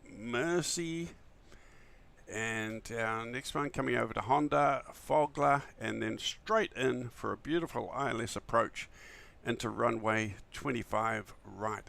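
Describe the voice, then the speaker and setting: A man with a croaky, just-woken voice, chatting casually and enthusiastically as a friendly flight simulator streamer who rambles a little. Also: mid-range voice, sharp tone. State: early-morning croaky voice, still waking up. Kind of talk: casual livestream chat.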